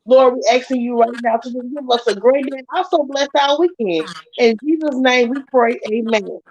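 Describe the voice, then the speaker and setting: A woman leading a spoken prayer in an earnest, steady cadence. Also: on the high side, normal speed. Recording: dull lo-fi remote audio with faint noise.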